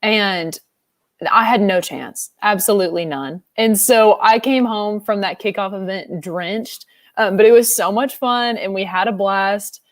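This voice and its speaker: A young woman telling a funny story on a youth panel with a lively, self-mocking manner. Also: medium pitch, measured pace, no room sound, clean studio sound. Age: young adult.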